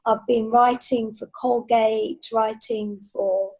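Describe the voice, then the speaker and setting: Woman podcast guest chatting away casually, kinda high voice, really mellow. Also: fairly even intonation, slow, low-quality recording. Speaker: podcast guest, freelance writer and author. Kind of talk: casual interview chat.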